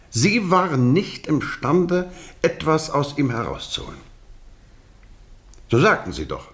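A man acting in a German radio drama as a courteous, probing investigator, speaking in a measured, ironically polite and rhetorical way. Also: medium pitch.

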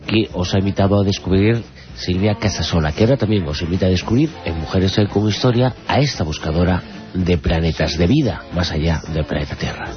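A man with an accent from Spain hosting a radio show, presenting live in a halting, drawn-out way. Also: low-pitched. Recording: dull radio audio over loud music. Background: clear background music.

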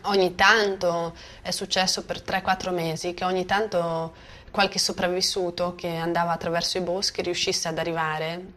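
Lowish-voiced woman, halting testimony. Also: level tone, a bit bright. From Bosnia. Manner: halting, reflective. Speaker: author, survivor giving testimony. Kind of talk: spontaneous personal testimony. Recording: faint ambient noise. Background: faint ambience.

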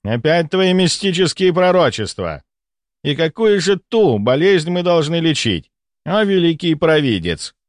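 A male narrator acts out a smug, sarcastic senior doctor for an audiobook. The voice rides high and swings theatrically, full of mockery and self-importance. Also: big pitch swings, brisk, no room sound, studio quality.

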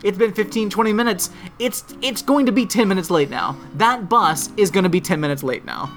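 A man telling a long, animated story in casual chat, swearing freely, with a strong, trained voice. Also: high voice, brisk, faint music behind. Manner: trained projecting voice. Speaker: podcast co-host. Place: Orlando area.

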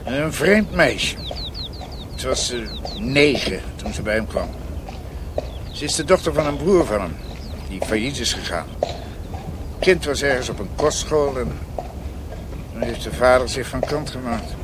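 A man acts a frank, avuncular country doctor in a radio drama. His mid-range voice moves freely up and down in easy conversation. Birds and noise sit clearly behind him. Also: medium pitch, animated, measured pace, pauses often, natural voice. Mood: confiding. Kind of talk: Dutch radio drama acting.

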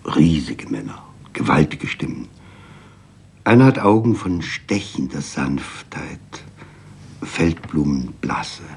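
A man's voice: very deep voice, expressive, takes it slowly, gravelly, a little mellow, slightly rough. A man reading scripted literary narration for a radio feature. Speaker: reader.

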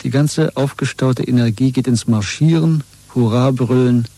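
A husky, soft-edged male voice of an actor as a disillusioned rebel in a 1970s radio drama. He speaks with brooding, earnest intensity, like someone confiding forbidden thoughts. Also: medium pitch, normal speed, dry recording, close to an everyday voice. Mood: bitter, intense. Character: disillusioned, brooding rebel man in a dystopian state. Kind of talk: 1970s German radio play acting.

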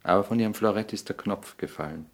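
A suave aristocratic seducer played by a man in a 1960s radio drama. His voice sits very low. He is urbane and languid, delivering cynical wit like polished aphorisms. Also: fast, natural voice. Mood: suave, playful. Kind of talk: 1960s German radio play acting.

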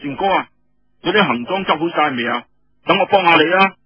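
A male storyteller plays a playfully sharp young woman soldier in an audio story, jealous and needling. The delivery is animated and husky, on a muffled recording. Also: on the high side, a little fast, dry recording, soft background music. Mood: brisk, helpful. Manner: teasing, needling, colloquial.

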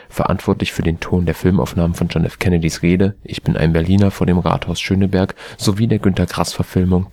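A man with a standard German accent, reading an encyclopedia article aloud in a steady, careful way. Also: deep voice, dry recording. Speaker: volunteer reader of a spoken encyclopedia article. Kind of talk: scripted read-aloud narration.

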